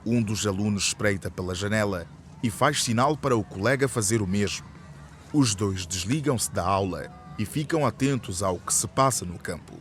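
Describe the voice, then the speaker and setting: A man's voice: lowish voice, moderate pace, a bit bright, a little hiss or hum. A man narrating a scripted radio drama as its storyteller.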